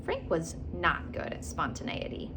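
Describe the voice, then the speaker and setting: A woman's voice: sits low, a little slow, background noise. A woman reading a storybook aloud as host, expressively, with asides to the listener. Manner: expressive, acts out characters. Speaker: host of a children's read-aloud.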